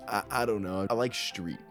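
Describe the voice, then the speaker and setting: A twenty-year-old man answering interview questions about himself in a casual, candid, self-deprecating way. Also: lowish voice.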